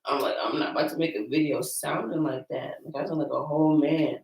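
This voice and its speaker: An American woman with a deep voice roughened by a cold, talking informally to viewers as host of a Bible-reading vlog. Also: fairly even intonation, fairly quick, darkish tone, a little hiss or hum. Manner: informal, chatty, addressing viewers as y'all. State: getting over sickness. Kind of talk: casual chat and reading scripture aloud. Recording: roomy echo, distant microphone.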